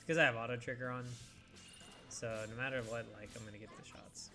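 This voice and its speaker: A young man with a congested, scratchy throat, rambling through casual gameplay commentary with lots of fillers and occasional coughs. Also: mid-range voice. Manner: rambling, hesitant with many fillers. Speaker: let's play host. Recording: game music behind voice.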